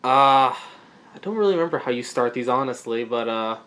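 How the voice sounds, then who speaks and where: A man co-hosting a comic-book podcast, chatting casually and hesitantly, with frequent pauses and restarts. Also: mid-range voice, lively intonation, fairly bright tone. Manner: hesitant, lots of uh and false starts. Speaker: podcast co-host.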